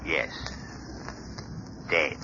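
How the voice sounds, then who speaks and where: A man's voice: extremely slow, background noise. A man acting an ominous, stern avenger in a 1930s radio drama, grave, declamatory and menacing. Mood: solemn condemnation. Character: ominous male avenger, stern judge of criminals. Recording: dull 1930s radio recording.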